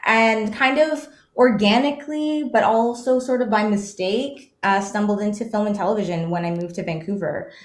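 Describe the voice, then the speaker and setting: A woman actress talking about her career as a guest in a casual interview, with lots of "um" fillers and animated laughs. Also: mid-range voice, moderate pace, hardly any pauses. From Bahamas, raised in Canada. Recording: roomy echo.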